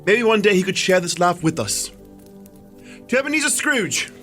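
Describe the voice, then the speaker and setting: A man playing a cheerful, kind-hearted young gentleman with a merry, earnest delivery in a radio drama. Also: sits high, sing-song, moderate pace, faint music behind. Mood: earnest, fond.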